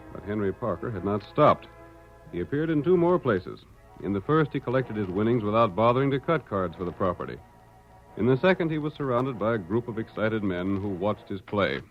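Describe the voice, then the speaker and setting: A man's voice: on the low side, big pitch swings, brisk. A man with an American accent narrating a vintage radio western drama in a declamatory, dramatic way. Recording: muffled old radio recording, music behind.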